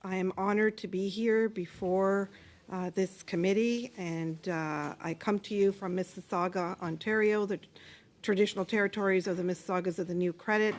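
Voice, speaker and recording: A woman's voice: medium pitch, a little mellow. Woman witness at a hearing, earnest and impassioned, mid-range voice, speaking on a desk mic. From Canada. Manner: earnest, impassioned, with some hesitations. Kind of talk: formal testimony. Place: committee hearing room.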